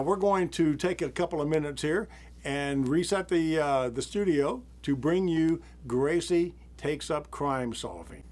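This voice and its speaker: A man hosting a live theater broadcast, introducing the next act in a relaxed, informative way. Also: a little high. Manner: relaxed, informative, small laughs. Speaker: theater host. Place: studio.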